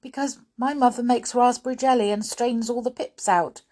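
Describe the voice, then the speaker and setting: A woman puts on a little schoolgirl with a high, timid voice. She is hesitant and unsure, picking her words carefully, as in a children's story. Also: fairly even intonation, moderate pace, darkish tone, close to an everyday voice. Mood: nervous, unsure. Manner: hesitant, uncertain.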